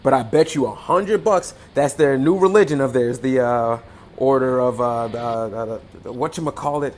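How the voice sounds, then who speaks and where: A chatty small-town clerk, played by a man in a radio play. He teases and needles in a medium-pitched voice, muttering asides. There is slight background noise. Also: moderate pace, lightly acted. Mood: needling, smug. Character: folksy, gossipy, teasing small-town ticket clerk.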